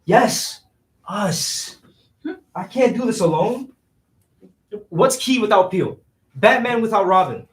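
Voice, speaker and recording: Guy acting as a broke streetwise schemer, high voice, slangy and pushy, stage play reading, echoey and far from the mic. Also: lively intonation. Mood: scheming, persuasive. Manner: slangy, fast-talking persuader with streetwise phrasing. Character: scheming, cash-strapped young city man, streetwise persuasive hustler. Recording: roomy echo, distant microphone.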